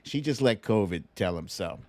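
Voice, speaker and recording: A man's voice: on the low side, animated, normal speed, darkish tone. A man hosting a casual livestream, chatting through news and viewer comments in a wry, teasing, colloquial way. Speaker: livestream show host.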